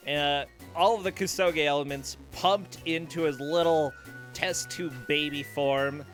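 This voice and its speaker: A man's voice: on the high side, fairly bright tone. A man hosting a streamed game show, chatting casually and joking playfully. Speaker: host.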